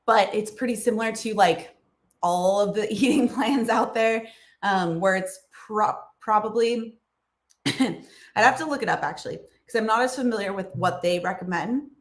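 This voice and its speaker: Woman, livestream host, froggy throat, chatty explainer, mid pitch, echoey room. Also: moderate pace. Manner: chatty, explaining, with fillers and small laughs. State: froggy voice, clearing throat and coughing. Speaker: nutrition channel host answering livestream questions. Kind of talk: casual livestream Q&A.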